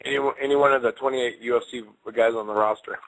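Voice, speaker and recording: Easygoing male guest on a radio show, bright and forceful tone, dry close sound. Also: medium pitch, fairly even intonation, fast, no room sound, rough recording. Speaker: guest fighter. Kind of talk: radio interview.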